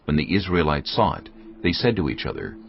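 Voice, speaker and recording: A man narrating scripture in a measured, steady reading, on dull audio with faint music behind. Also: sits low, creaky.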